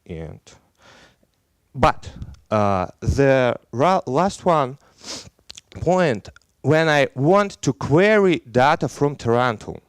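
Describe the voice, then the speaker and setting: A man in his thirties from Belarus with a Belarusian accent, giving a technical conference talk in non-native English, halting and full of fillers, on a headset mic on stage. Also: medium pitch, very animated, clean tone, no room sound. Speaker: conference speaker.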